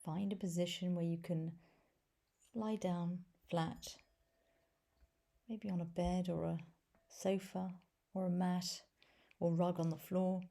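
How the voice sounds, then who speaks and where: A woman leading a guided meditation as a teacher, speaking softly and gently in a breathy voice with long pauses between phrases. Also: lowish voice, level tone. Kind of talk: guided body scan meditation.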